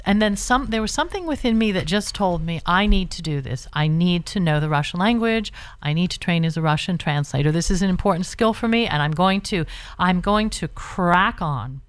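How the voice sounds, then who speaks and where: A woman guest on a call-in radio show, chatty and rambling as she tells stories. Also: fairly low pitch. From Britain, Bahamas and California.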